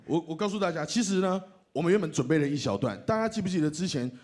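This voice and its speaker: Animated, joking Taiwanese man announcing awards. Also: a little high, fast talker. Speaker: awards presenter and radio host. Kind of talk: comic stage banter and nominee announcing. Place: awards ceremony stage.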